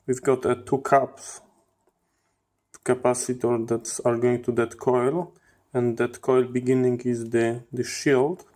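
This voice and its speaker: A man giving hands-on teardown commentary as a channel host, in halting phrases with repeated words. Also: mid-range voice, darkish tone. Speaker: host of a radio electronics channel.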